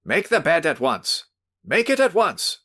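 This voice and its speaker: A man voicing a stern, angry gentleman who shouts orders in a storybook reading. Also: lowish voice, lively intonation, a little slow. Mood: commanding. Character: angry, commanding middle-aged gentleman.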